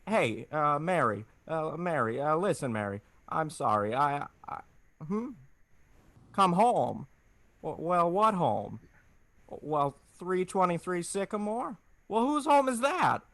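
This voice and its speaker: A man's voice: on the high side, sing-song, slow pace, a bit bright, no echo, exaggerated voice. A man playing an earnest, flustered small-town family man in a 1940s radio drama, stammering in a folksy way.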